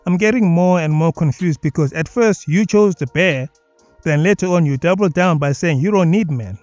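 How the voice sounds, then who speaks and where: A South African man picks apart clips in a mocking, sardonic tone. His voice sits a bit high for a man. The recording is dead dry and slightly dull, with faint music under it. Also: normal speed, darkish tone, no room sound. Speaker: commentary video host. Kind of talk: sarcastic reaction commentary.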